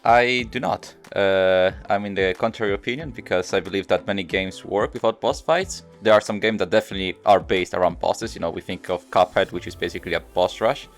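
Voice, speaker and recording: Low-voiced man explaining his opinion. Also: brisk, hardly any pauses, faint music behind. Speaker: podcast panelist. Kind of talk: casual gaming podcast discussion.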